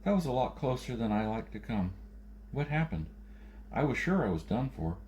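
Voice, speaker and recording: A man's voice: mid-range voice, big pitch swings, normal speed, a little mellow, a little hiss or hum, lightly acted. A man voicing an anxious, questioning wounded adventurer in a science fiction audiobook. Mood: grateful, relieved.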